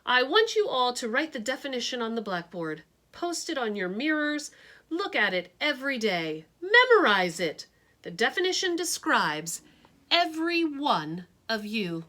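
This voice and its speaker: A woman's voice: sits high, big pitch swings, pushed and bright, pitched above the performer's own voice, heavily acted. A woman voicing a short, stout, brusque teacher who speaks firmly and emphatically. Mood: stern. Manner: firm, emphatic, slow declaiming.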